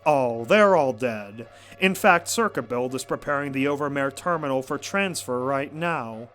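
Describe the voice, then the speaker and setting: A man's voice: medium pitch, animated, measured pace, fairly bright tone, soft background music. A man acting in an audio drama as a bitter, menacing pony rebel leader, theatrical and taunting. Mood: angry, commanding.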